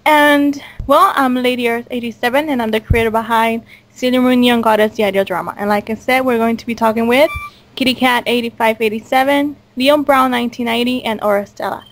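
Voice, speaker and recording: Female host for an informal online cast interview; chatty, voice on the higher side. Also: fairly even intonation, a little fast. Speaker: host and audio drama creator. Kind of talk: casual online group interview.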